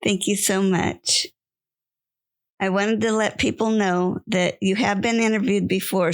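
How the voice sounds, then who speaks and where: Female podcast host, gentle and measured, with some vocal fry. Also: medium pitch. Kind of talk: podcast interview.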